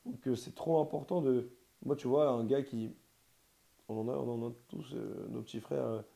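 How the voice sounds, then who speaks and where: A man's voice: mid-range voice, moderate pace, vocal fry, dark tone. A man in casual conversation, talking in a rambling, emphatic way with lots of slang. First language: Portuguese heritage, quasi bilingual.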